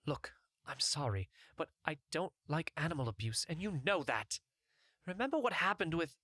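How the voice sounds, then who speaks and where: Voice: mid-range voice, lively intonation, normal speed, fairly bright tone, no echo, studio quality. A narrator voicing an earnest, impulsive young male novice healer in a fantasy game story. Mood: awkward, earnest.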